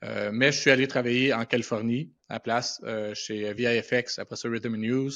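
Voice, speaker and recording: A man's voice: mid-range voice, steady tone, brisk, fairly bright tone. Quebec guy, middle aged, chatting casually in an interview, english words thrown in, kinda dull audio. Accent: Quebec French. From Quebec, Canada. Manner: colloquial, peppered with English words. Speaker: podcast guest, animation and visual effects professional. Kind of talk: casual anecdotal storytelling in an interview. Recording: dull, band-limited podcast audio.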